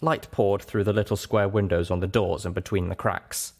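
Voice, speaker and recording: A man's voice: sits low, steady tone, normal speed, creaky. A man reading scripted first-person fiction aloud as an audiobook narrator.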